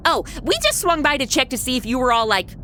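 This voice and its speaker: A woman's voice: high-pitched, animated, quick pace, pushed and bright, a little hiss or hum. A woman playing a sarcastic, flippant modern young spaceship cook in a comedy audio drama, talking in breezy casual slang.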